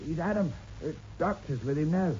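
A blunt, practical village constable played by a male actor in a vintage radio play. His folksy voice sits fairly high, on a dull and noisy recording. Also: normal speed, darkish tone, natural voice. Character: plain-spoken, gruffly practical village constable. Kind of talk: 1960s radio drama acting.

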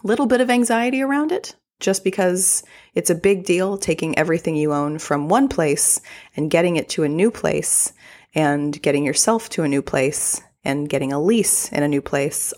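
A woman's voice: mid-range voice, a little mellow. A young woman from Texas with a mild Texan accent, hosting a podcast in a chatty, effusive way. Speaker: podcast host. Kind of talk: casual solo podcast talk.

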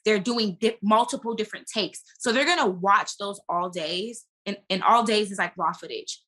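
A woman talking casually as a podcast guest, an actress who explains things in an animated, emphatic, slangy way. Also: mid-range voice, normal speed. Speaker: guest, stage and screen actress.

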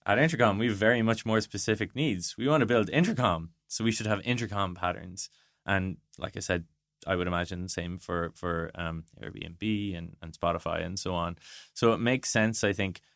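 A man's voice: sits low, normal speed, creaky, fairly bright tone, no room sound, studio quality. A man being interviewed as a guest, explaining his points conversationally and thinking aloud with illustrative asides. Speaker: interview guest, product design director.

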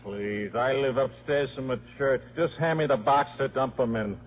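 An actor playing a wisecracking, scheming football coach and father, brisk and slangy, in a 1950s radio drama. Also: medium pitch, very noisy, natural voice. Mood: scheming, confident. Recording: muffled vintage 1950s radio broadcast.

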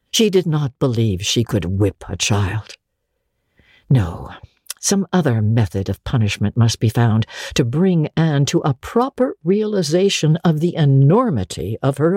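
A woman narrating classic literature as a dramatic audiobook, with husky, expressive and measured storytelling. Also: extremely low pitch, no room sound, studio quality.

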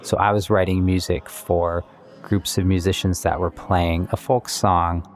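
A man, a musician and composer, talking reflectively and in measured phrases about his work. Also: sits low, level tone. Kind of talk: reflective interview monologue about making music. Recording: music playing behind the voice. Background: guitar-led instrumental music.

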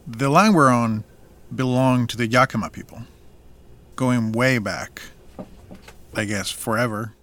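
A man's voice: mid-range voice, a little slow, pauses often, fairly bright tone, a little hiss or hum, natural voice. Guy acting a farmer in an audio drama, plainspoken n proud, bit of a tease, faint background ambience. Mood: reflective, earnest. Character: Salvador, male valley farmer, proud, plainspoken, teasing. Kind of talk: naturalistic audio drama dialogue.

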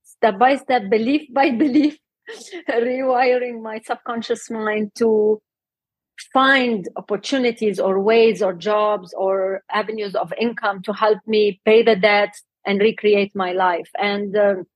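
Lebanese-born woman, middle aged, kinda breathy, giggly interview guest, dry sound. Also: medium pitch, fairly even intonation, measured pace, no echo. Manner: warm, laughs often. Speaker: guest, health coach. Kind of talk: casual interview talk.

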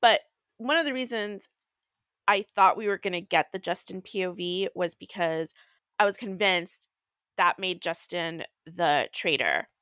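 A woman's voice: lowish voice, unhurried, bright, forceful tone, no echo, studio quality. A woman co-hosting a podcast, chatting casually about books. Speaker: co-host of a book recap podcast. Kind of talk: casual book chat.

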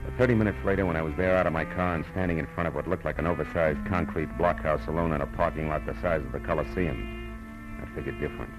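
A man's voice: extremely low pitch, fast, low-quality recording. An American actor playing a wry, hard-boiled private detective in clipped, tough 1940s radio style, narrating and talking through his case. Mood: wry, tense narration. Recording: old radio recording, music behind.

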